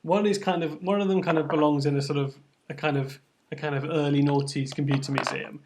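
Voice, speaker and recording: A man's voice: on the high side, steady tone, fairly quick, a little mellow. A man co-hosting a live stream and handling the tech, chatting casually with dry, understated humour. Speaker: co-host running the stream tech. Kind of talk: casual chat.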